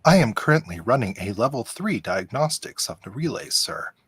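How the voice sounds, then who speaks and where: A man's voice: mid-range voice, big pitch swings, normal speed, fairly bright tone, breathy edge, exaggerated voice. A man playing a polite, precise android officer in a radio play, speaking formally. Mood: matter-of-fact.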